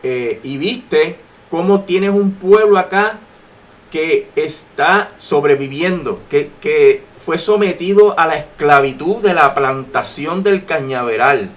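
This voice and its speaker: A man with a Puerto Rican accent giving emphatic political commentary as a guest on talk radio, repeating phrases for weight. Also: on the high side. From Puerto Rico. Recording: noisy AM radio talk recording.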